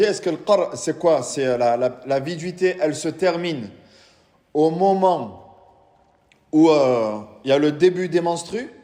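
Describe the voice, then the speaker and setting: A man's voice: fairly high pitch, a little mellow. A man, an imam, giving a religious lecture to a live audience, explaining patiently and asking the room questions. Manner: explains patiently, asks the audience questions, recites Quranic Arabic. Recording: reverberant hall.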